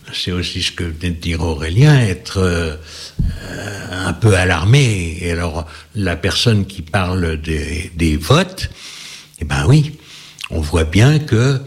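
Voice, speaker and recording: A man speaking as a guest in a panel discussion, measured and hesitant with many pauses. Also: low voice, breathy edge. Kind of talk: reflective debate talk.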